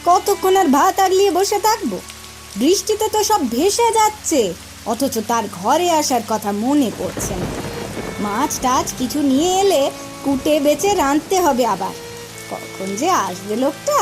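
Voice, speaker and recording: A grumbling village wife in a fairy-tale cartoon. Her voice is high and very animated in pitch. She complains impatiently over faint music. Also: moderate pace.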